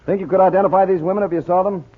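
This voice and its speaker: A man playing a brisk, authoritative police lieutenant who questions and gives orders in a 1940s radio mystery. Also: high voice, fairly even intonation. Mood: businesslike. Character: businesslike, commanding police lieutenant. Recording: muffled, narrow-band 1940s radio recording.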